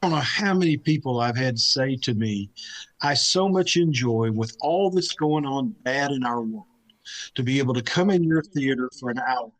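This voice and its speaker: A man's voice: medium pitch, a bit bright, poor audio. A man speaking earnestly and anecdotally as a theater creator on a discussion panel.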